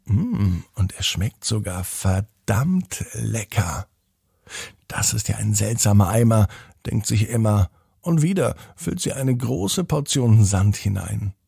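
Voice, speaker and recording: A man's voice: on the low side, expressive, slow pace, vocal fry, mellow, husky, no echo, studio quality. A man hosting a children's podcast, narrating a bedtime story expressively and speaking directly to children.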